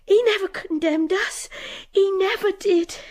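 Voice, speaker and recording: Anguished, high-pitched young woman. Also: unhurried, a little mellow. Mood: tearful, insistent. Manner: pleading, tearful, repeating herself. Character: anguished young fallen woman, destitute and defiant. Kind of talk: radio drama acting.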